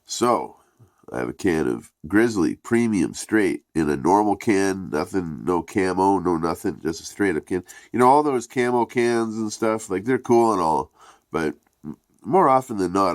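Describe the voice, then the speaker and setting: Reviewer: man with a very deep, gravelly, congested voice; loose, profane, rambling delivery, close and dry. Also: lively intonation, a little slow, no echo. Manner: profane, rambling, laid-back. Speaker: product reviewer.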